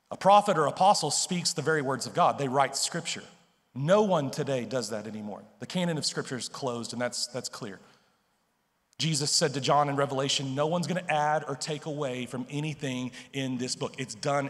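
A man's voice: medium pitch, fairly bright tone. A man in his thirties preaching a sermon on a church stage into a handheld mic, emphatic and conversational, often checking in with "okay?" and "right?". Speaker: pastor. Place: large church stage with purple lights. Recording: long hall reverb.

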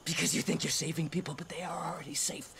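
An actor playing a sadistic, theatrical cult preacher in a video game, an American man with zealous, drawn-out phrasing. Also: a little high, level tone, vocal fry, background noise. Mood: weary, bitter conviction. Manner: preacherly, drawn-out phrasing with sudden whispers and shouts. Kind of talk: video game cutscene acting.

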